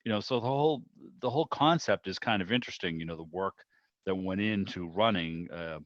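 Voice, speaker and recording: Low-voiced older American man, dull audio. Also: fairly quick, dry recording. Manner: rambling, conversational asides. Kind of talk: casual chat. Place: remote video call. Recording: dull lo-fi video call audio.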